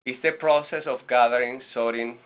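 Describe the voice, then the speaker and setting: A man from Venezuela, a nonprofit director, presenting a webinar with halting restarts and fillers. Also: medium pitch, steady tone, heavy background noise. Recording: lo-fi webinar audio.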